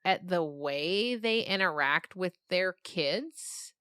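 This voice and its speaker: A woman's voice: fairly low pitch, animated, takes it slowly, a bit bright, clear, resonant tone, no echo, studio quality. A woman talking casually and reflectively as an interview guest on a podcast, thinking aloud in informal language. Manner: informal, thinking aloud, frequent "like" and "you know".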